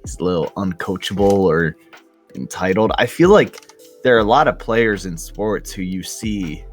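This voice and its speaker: A man on a podcast panel discussing sports casually, in a measured and tentative way. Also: sits low, expressive, soft background music.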